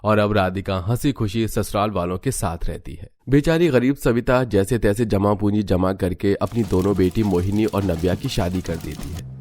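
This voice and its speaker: Guy with a lowish voice narrating a storybook tale, scripted read. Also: normal speed, darkish tone.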